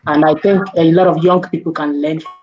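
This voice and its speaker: A man's voice: fairly high pitch, little pitch movement, a little fast, over music. Hesitant man on muffled call audio. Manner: thoughtful, hesitant with many fillers. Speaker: panelist. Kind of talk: webinar discussion. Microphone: video call.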